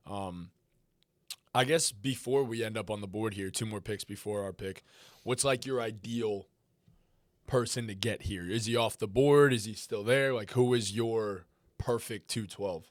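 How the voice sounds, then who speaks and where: Male co-host with a low voice, loose and conversational delivery, dry recording. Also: steady tone, measured pace, dry recording. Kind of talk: casual fantasy football chat.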